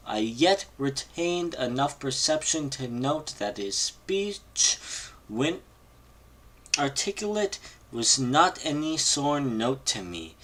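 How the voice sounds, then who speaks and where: Guy reading a spooky old story out loud, takes it slow, trips over the big words. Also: medium pitch. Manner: halting, stumbles over words, giggles at mistakes. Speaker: amateur reader on a live read-along stream. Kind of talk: reading a horror story aloud, informally.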